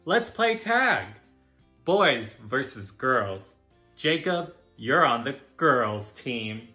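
A bossy, scornful little storybook bully, done by a man. He is demanding, with a forceful bright tone and big pitch swings. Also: very animated, drawn-out words, faint music behind, heavily acted. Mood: bossy yelling. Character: Christopher, bossy, scornful schoolboy.

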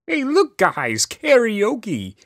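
A man voicing a male chimera trickster with a theatrical, drawn-out delivery in an animated radio play. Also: sits high, dry recording, studio quality, heavily acted. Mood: drunk, giddy. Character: male chimera trickster, mopey worrier turned giddy drunk.

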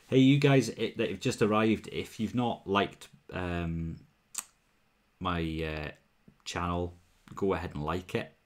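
A young Welsh man with a deep, low voice hosts a relaxed home livestream. He talks easily and answers viewers' comments as they come in, speaking into a wired earphone mic in a small room. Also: slow, pauses often. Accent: mild Welsh. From Wales. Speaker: whisky livestream host. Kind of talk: casual livestream chat. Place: small room at home, bottles on a shelf behind.

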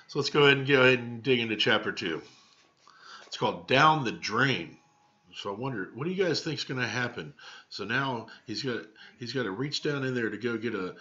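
A man's voice: medium pitch, sharp tone. An American man in his thirties reading a storybook aloud to children as a teacher, with casual asides, on a lo-fi webcam. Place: small home room, indoors. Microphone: webcam at desk distance. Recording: dull lo-fi webcam audio.

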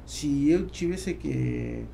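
A man in his forties from Argentina with an Argentine Spanish accent, a native Spanish speaker answering conversationally in a podcast interview with halting phrasing. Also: fairly high pitch, huge pitch swings, creaky, warm tone, a little hiss or hum. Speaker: entrepreneur, podcast guest. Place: small foam-padded podcast studio. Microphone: studio microphone on boom arm.

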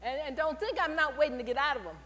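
A woman's voice: sits high, fast. An older woman in a purple robe giving a spoken testimony from the pulpit, telling stories and calling out to the congregation. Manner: animated storytelling with call-and-response. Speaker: church speaker giving testimony. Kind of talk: testimony sermon. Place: large church sanctuary at pulpit. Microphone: headset mic. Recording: long church reverb with mains hum.

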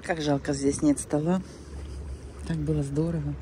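An older woman chatting casually for a vlog, musing in unhurried short phrases, recorded on a handheld phone. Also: deep voice, little pitch movement, dark tone, slight background noise. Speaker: travel vlogger. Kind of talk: casual first-person vlog chat. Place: outdoors by the shore, in a car, in a cafe.

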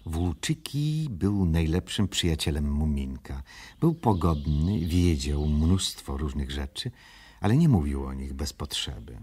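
Male storybook narrator, extremely deep and raspy, unhurried and solemn, for a children's radio play. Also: sing-song, warm tone.

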